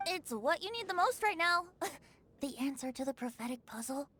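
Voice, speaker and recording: A woman's voice: high-pitched, lively intonation, normal speed, sharp tone. A woman voicing a carefree, teasing young boy bard in a video game, playful, breezy and theatrical. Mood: theatrical, sly.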